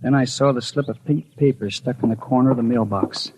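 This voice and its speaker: A man's voice: medium pitch, fairly quick, a little mellow, slightly rough, close to an everyday voice, poor audio. An actor playing an anxious, imaginative postman who narrates his suspicions and stammers with others, in 1950s radio drama style. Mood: anxious brooding. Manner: hesitant and stammering when speaking to others. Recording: 1950s radio broadcast with music.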